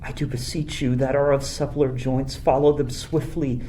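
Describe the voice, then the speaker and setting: A man playing a mellow, anxious counsellor. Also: medium pitch, level tone, moderate pace, slight background noise, lightly acted. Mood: worried, pleading. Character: kindly, anxious royal counsellor. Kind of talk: amateur Shakespeare radio play acting.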